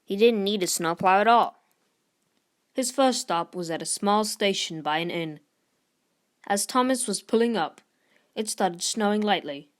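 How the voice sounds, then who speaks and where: A woman with a mid-pitched voice narrates a children's storybook. She reads the scripted text expressively, as one would to young listeners. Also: unhurried, long pauses. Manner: expressive, lifts voice for character dialogue. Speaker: storybook reader.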